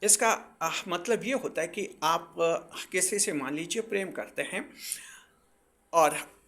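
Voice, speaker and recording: A man's voice: high-pitched, slow pace, fairly bright tone. A man presenting astrology advice to viewers in a didactic way, repeating and rephrasing his points for emphasis.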